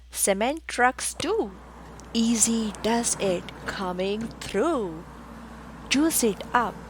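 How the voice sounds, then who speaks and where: A woman with a fairly high voice performs a children's rhyming picture book. Her pitch swoops widely and she delivers each short phrase slowly and rhythmically, with theatrical exclamations. Music plays behind her. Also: fairly bright tone, a little hiss or hum. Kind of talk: animated picture-book read-aloud for preschoolers.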